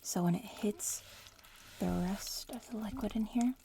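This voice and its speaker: A woman playing a young bratty witch in training in an ASMR roleplay, murmuring casually and without hurry, slipping between soft speech and whispers. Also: medium pitch, a little slow, slight background noise.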